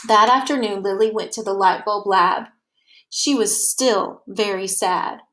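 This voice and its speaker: A woman reads a children's picture book aloud as a storyteller, with expressive, deliberate narration that leans on key words. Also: mid-range voice, low-quality recording.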